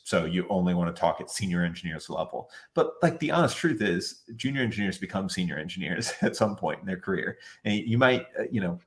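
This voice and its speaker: A man speaking as a guest on an online panel, giving casual advice in a chatty way full of "like" and restarts, over a video call. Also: low voice. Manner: chatty, many "like" fillers and restarts.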